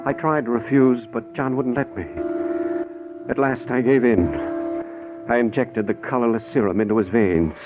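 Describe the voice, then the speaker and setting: A man's voice: medium pitch, fairly even intonation, close to an everyday voice. An actor playing an anxious, weak-willed man, a reluctant accomplice, in the earnest style of a 1940s radio drama. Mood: grave, uneasy. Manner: hesitant in dialogue, grave and measured when narrating. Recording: tinny old radio recording with music.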